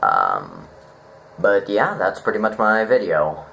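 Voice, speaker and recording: A man giving a casual, unscripted review, halting and rambling as he thinks aloud. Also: lowish voice, wildly swooping pitch, gravelly, sharp tone, slight background noise. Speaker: online story reviewer.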